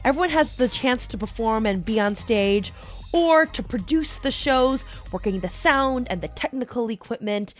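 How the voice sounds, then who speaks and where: A young American woman speaks in a fairly high voice over music. She delivers a prepared motivational talk, explaining her points and putting them straight to the listener. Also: expressive, normal speed. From United States, small town in Colorado. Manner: direct address to listener, explanatory. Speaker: podcast host.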